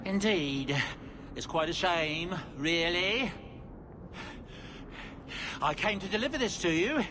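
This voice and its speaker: An actor playing a fussy, deferential dwarf merchant in a video game, courteous, formal and eager to please. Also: male voice, high voice, slow, background noise. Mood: jovial, chatty. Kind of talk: video game cutscene acting.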